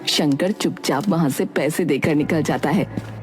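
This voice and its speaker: A woman's voice: deep voice, a little slow, over music. A woman delivering scripted narration for a cartoon fairy tale in a storyteller's style.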